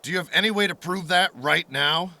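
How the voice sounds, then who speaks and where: A man playing a wary, authoritative military captain in a post-apocalyptic audio drama, briskly giving orders. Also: high voice, pushed and bright, exaggerated voice. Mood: stern, commanding.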